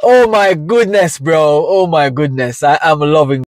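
A man's voice: a little high, a little slow, a little mellow, no echo. A young Jamaican man reacts to music in a casual, slangy way. He is full of enthusiasm but takes his time over his words. The sound is very dry, with no room around the voice. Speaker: music reaction video host. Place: inside a parked car.

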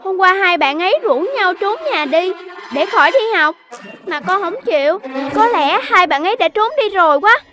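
Cartoon animal child, very high voice, bright tone, excitable, playful, exclamatory. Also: moderate pace, exaggerated voice. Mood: worried.

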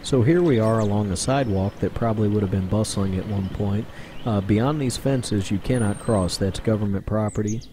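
A man's voice: on the low side, normal speed, warm tone, slight background noise. A man doing casual voice-over narration for his own exploring video, rambling and chatty with plenty of fillers. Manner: rambling, conversational, with many fillers. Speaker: urban explorer vlogger.

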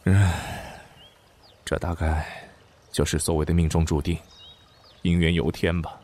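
A man's voice: sits low, flat delivery, measured pace, darkish tone, slight background noise. A man playing a melodramatic young prince in a Chinese costume audio drama, theatrical and stammering when flustered. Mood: mournful, pompous. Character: young lecherous prince, secretly another soul, theatrically grieving and scheming.

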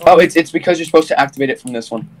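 A man's voice: a little high, very fast. A young man hosting a game session with friends, explaining things with hesitant restarts. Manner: hesitant, stumbles over words while explaining. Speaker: streamer and builder. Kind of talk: casual gaming chat.

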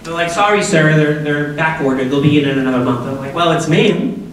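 A woman in her forties with a deep voice, an upbeat and chatty speaker who keeps asking the students questions. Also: fast, a little hiss or hum. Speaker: guest speaker at a school assembly. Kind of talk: lively talk to students. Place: large school auditorium. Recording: echoey auditorium from far off.

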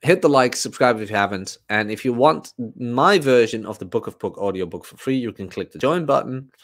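Man with animated, swooping intonation. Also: medium pitch, fairly quick. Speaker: host, fitness coach. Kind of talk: casual livestream monologue, fitness motivation talk. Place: small room at home, indoors.

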